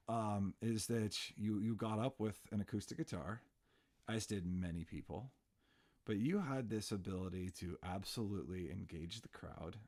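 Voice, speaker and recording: A man with a low voice hosts a loose, friendly chat. He talks with easy warmth and lots of small asides, steering the guest with open questions. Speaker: podcast host. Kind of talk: casual interview chat.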